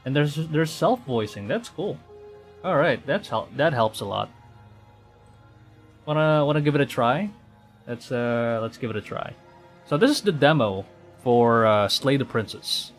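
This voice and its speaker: A man from the Philippines chats casually as he hosts a game livestream. His delivery is unhurried and halting, and he often circles back to restate a phrase. Faint music plays behind his mid-pitched voice. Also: expressive. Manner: hesitant, halting, repeating and rephrasing phrases. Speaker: VTuber livestream host.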